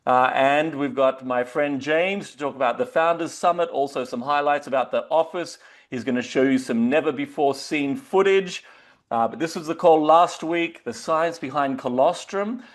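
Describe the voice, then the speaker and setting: A man's voice: fairly high pitch, normal speed. A man with an Australian accent hosting a webinar, talking loosely and casually with frequent fillers. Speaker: webinar host. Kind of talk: casual presentation.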